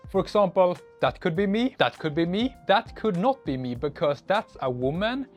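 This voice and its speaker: A man's voice: high-pitched, very animated, normal speed, lo-fi sound, faint music behind. A young man from Sweden narrating a casual travel vlog in a plain, matter-of-fact way with dry humor. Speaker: vlogger.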